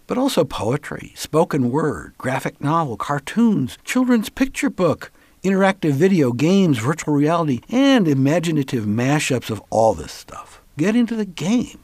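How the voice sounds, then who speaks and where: A man's voice: on the high side, very animated, normal speed, darkish tone, no room sound. A man speaking as a radio host, in podcast chat and promotional talk.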